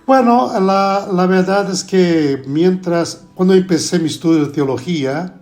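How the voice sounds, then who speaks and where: A middle-aged man from Brazil, a pastor with a Brazilian Portuguese accent, talking in a relaxed, halting way with frequent tag questions as a podcast guest. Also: high-pitched, soft background music. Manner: relaxed, adds "no?" tags, halting Spanish. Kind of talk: podcast interview.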